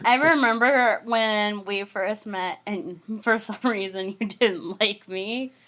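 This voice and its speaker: A woman co-hosts a relaxed podcast chat, talking slowly and letting her sentences trail off with "um" and "like." Her voice sits at an ordinary middle pitch. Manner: unhurried, trailing-off speech with fillers. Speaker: podcast co-host. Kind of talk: casual chat.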